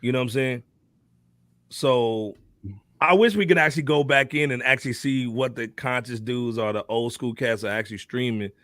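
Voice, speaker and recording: A man's voice: medium pitch, moderate pace, fairly bright tone, no room sound. A middle-aged American man hosting a hip-hop podcast in a slangy, conversational way. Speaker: podcast host. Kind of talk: casual hip-hop talk.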